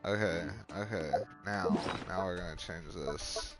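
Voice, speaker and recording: Low-voiced man doing casual gameplay commentary. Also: measured pace. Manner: loose, reactive, talks back to the game. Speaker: gamer, video host. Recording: loud game music behind the voice.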